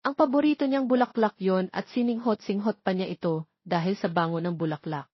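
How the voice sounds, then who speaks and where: A woman's voice: mid-range voice, fairly quick, husky, no room sound. Filipino woman narrating, dry slightly dull recording. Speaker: narrator of audio stories. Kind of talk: scripted romance story narration.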